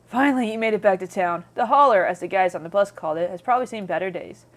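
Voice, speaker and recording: A woman's voice: mid-range voice, fast. A woman streamer reading game narration aloud with playful, chatty casual commentary.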